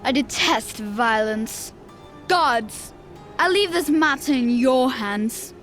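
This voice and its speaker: A haughty young boy emperor speaks coldly from his throne, as an actress voices him. The voice is high and imperious. Quiet music sits underneath. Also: animated, takes it slowly, exaggerated voice. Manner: imperious, cold. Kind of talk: video game cutscene acting.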